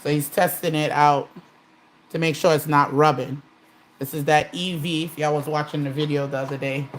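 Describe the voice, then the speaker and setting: A woman's voice: low-pitched, fairly even intonation, normal speed, a little hiss or hum. A woman casually hosting a live stream, chatting and reading viewer comments aloud.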